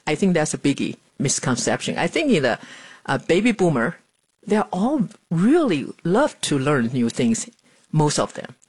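A woman, speaking plainly and earnestly in an interview, with pauses and fillers. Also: low voice, big pitch swings, darkish tone, husky. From Taiwan. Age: 70s. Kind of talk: documentary interview. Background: music.

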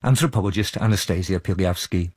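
A man's voice: deep voice, brisk, a little mellow, breathy edge, dry recording, studio quality. A man working as a reporter, presenting a scripted documentary narration.

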